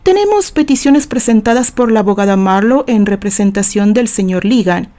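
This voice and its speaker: A Mexican woman voicing a stern male judge in an audio novel, formal, curt and measured. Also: medium pitch, expressive, dark tone, natural voice. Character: stern, dry male judge presiding over a trial.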